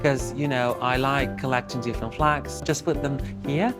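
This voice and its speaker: A man from Russia, an English teacher talking earnestly in an interview, pausing often as he thinks. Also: mid-range voice, fairly even intonation. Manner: earnest, chatty, with many pauses. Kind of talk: documentary interview talk. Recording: background music and ambient noise.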